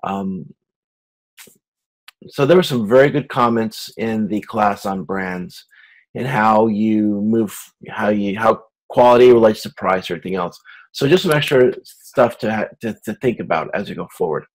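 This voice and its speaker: A man's voice: fairly low pitch, moderate pace, low-quality recording. A man lecturing informally to a class, explaining step by step and often repeating and rephrasing himself as he goes. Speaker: university course lecturer.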